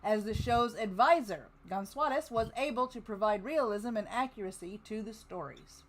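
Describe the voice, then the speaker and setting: A woman's voice: mid-range voice, moderate pace, a little hiss or hum, rough recording. A woman hosting a show casually and reading notes aloud. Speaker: host of an old-time radio listening show.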